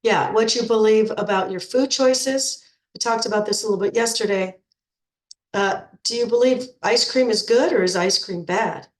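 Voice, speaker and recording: A woman's voice: mid-range voice, normal speed. Middle-aged female coach, congested from a cold, chatty and repetitive, in an echoey room. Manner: chatty, repeats words when restarting. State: stuffed up with a cold. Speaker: nutrition coach. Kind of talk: informal coaching talk to program members.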